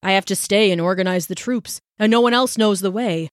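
Woman reading storybook father, an old soldier colonel: gruff, calm, in command. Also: medium pitch, brisk, no echo, studio quality, natural voice.

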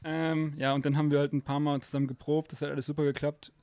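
A man's voice: on the high side, level tone, quick pace, a little mellow, clean tone, dry recording. A man in a band, a native German speaker, telling a long story in a casual interview, rambling with lots of fillers and frequent laughter.

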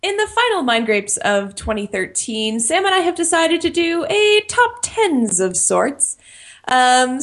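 A young woman co-hosting a casual podcast, chatty and quick to laugh, often restarting her phrases. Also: on the high side. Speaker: podcast co-host.

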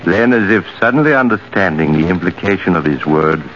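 A man narrating a vintage radio suspense drama in an ominous, measured storytelling style. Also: sits low, expressive, faint music behind. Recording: muffled old radio recording.

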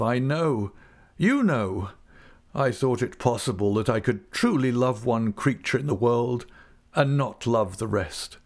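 A man voicing a distraught, self-loathing older gentleman in a story, impassioned and lamenting, with drawn-out words. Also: mid-range voice, voice pushed up.

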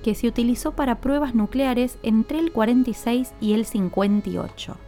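A woman's voice: on the high side, moderate pace, warm tone, faint music behind. A woman narrating a scripted podcast in an explanatory way, speaking directly to listeners. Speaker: podcast host.

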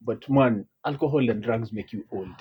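A man hosting a casual podcast. He speaks in a slightly husky voice, laid-back and full of slang as he banters with his co-host. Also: medium pitch, normal speed, highs cut off. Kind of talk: casual chat.

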